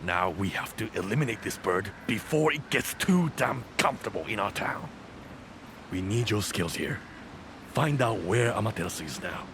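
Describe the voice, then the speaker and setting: Animated, commanding samurai ex-general. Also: male voice, lowish voice, moderate pace. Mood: urgent, commanding. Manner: blunt, decisive military delivery. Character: gruff male former samurai general, commanding and urgent. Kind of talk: video game cutscene acting. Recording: clear noise and ambience behind.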